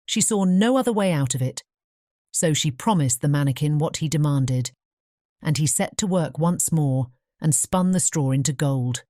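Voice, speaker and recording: A woman's voice: low voice, warm tone, no room sound, clean studio sound. A woman with a British English accent reading scripted fairy tale narration as an audiobook narrator.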